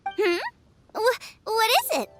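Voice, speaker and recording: Teenage girl chef for a game: very high, bubbly, animated, easygoing and frank. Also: takes it slowly, exaggerated voice. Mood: cheerful. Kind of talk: anime video game voice acting. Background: faint game music.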